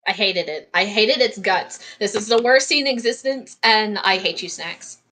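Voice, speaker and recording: A woman's voice: medium pitch, normal speed, sharp tone, a little hiss or hum, poor audio. A woman runner in casual post-race chat, teasing and dramatically complaining, laughing often.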